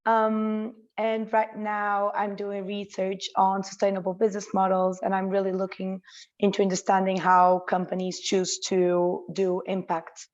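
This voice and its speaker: A woman from Portugal, studying for a master's, sums up her research on a video call. She speaks in a flat, even tone, and the call audio sounds dull and muffled. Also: medium pitch, a little slow. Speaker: master's student.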